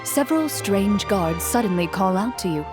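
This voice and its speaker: An actress playing a game master, narrating a fantasy adventure as scripted storytelling. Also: female voice, medium pitch, lively intonation, moderate pace, lightly acted. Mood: measured narration. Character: female storyteller running a fantasy tabletop adventure. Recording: long hall reverb, music underneath.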